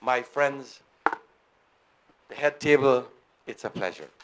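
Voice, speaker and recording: A man's voice: mid-range voice, lively intonation, slow, background noise. A middle-aged man from Guyana giving a formal, earnest speech to an audience and repeating key phrases for emphasis. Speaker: guest speaker.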